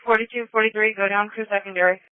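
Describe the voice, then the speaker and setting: Woman dispatcher, level and crisp, clipped procedural phrases on a slightly dull radio channel. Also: mid-range voice, fairly even intonation, racing, slight background noise. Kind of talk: clipped dispatch acknowledgements and relays.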